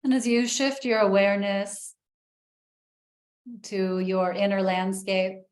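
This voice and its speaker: A woman leading a guided breathing meditation in a soft, soothing way, with long pauses. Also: medium pitch, roomy sound.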